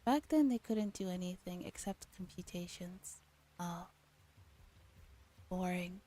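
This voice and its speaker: Mellow, soft-voiced young woman streaming. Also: on the low side, takes it slowly, slight background noise. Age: young adult. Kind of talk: soft ASMR visual novel read-along and casual chat. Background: game music.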